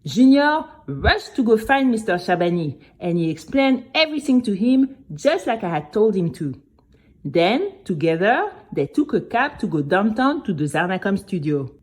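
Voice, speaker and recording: A woman playing a spirited young girl who recounts her adventure to friends in a chatty, dramatic first-person audio story. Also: medium pitch. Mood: chatty, eager. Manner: animated, chatty, addresses listeners directly.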